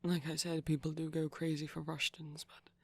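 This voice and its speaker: Hushed, breathy man with a creaky edge. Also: on the high side, flat delivery, moderate pace, no room sound. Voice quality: hushed, breathy, often slipping into whisper. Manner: gentle, shy, hesitant. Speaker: ASMR performer. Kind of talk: soft-spoken ASMR roleplay ramble.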